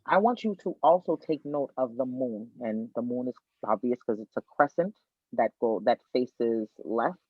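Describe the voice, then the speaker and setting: A man from Miami, Florida, explains birth charts as a podcast guest astrologer. He talks with lively, teacherly energy and circles back to repeat his main points. His voice comes through a tinny, phone-like line that sounds dry and close. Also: medium pitch, normal speed, dry recording.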